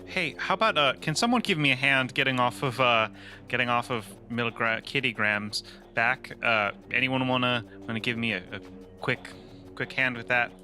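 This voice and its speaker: A man playing a tabletop roleplaying game, improvising casually in halting, backtracking phrases. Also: mid-range voice, bright, forceful tone, soft background music.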